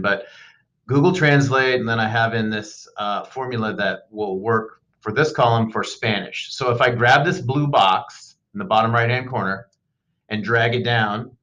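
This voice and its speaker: A man giving a loose, unscripted screen-recorded software tutorial as a teacher, with frequent ums and uhs. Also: medium pitch, poor audio.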